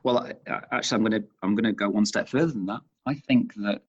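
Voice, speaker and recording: Interview guest: Northern English man, lowish voice, thoughtful and halting, conversational, on dull lo-fi audio. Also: breathy edge. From Manchester, England. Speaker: interview guest, chief executive of leadership institute.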